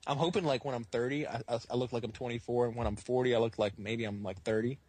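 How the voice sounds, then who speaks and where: A man in his twenties hosting a podcast, chatting in a blunt, casual way with a husky voice. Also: medium pitch, fairly even intonation, fast talker, a little mellow. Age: 20s. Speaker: podcast host. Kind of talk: casual chat.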